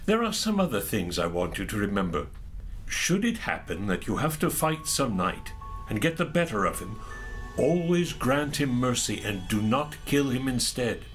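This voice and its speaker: An actor playing a kindly, courteous older knight mentor in an audio drama, giving measured, courtly instruction. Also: male voice, medium pitch, very animated, fairly bright tone, faint music behind. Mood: instructive. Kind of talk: audio drama acting of a medieval legend.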